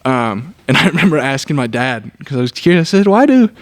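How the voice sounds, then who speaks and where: A man's voice: mid-range voice, fairly quick. A man in his late twenties hosting a casual podcast, telling stories with lots of laughter and swearing. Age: late 20s. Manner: animated storyteller, laughs often, swears freely. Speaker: podcast host.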